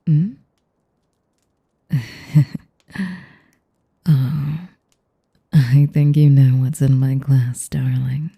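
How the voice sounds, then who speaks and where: A woman playing a sadistic, seductive vampire noblewoman in an intimate audio roleplay, breathy and whispery, formal and teasing in manner. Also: extremely low pitch, mellow, no echo, clean studio sound, heavily acted. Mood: courtly, insistent, then sinister, taunting. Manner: slow, formal, teasing, savoring each phrase.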